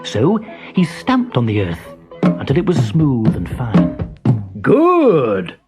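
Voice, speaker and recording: A storyteller giving an expressive narration of a children's storybook. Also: medium pitch, a little slow, loud music behind the voice.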